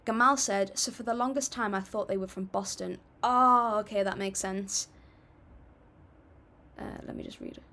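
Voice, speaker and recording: A woman's voice: mid-range voice, normal speed, pushed and bright. A young woman hosting a casual livestream, chatting and reading viewer comments aloud in an upbeat, apologetic way. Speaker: livestream music reactor.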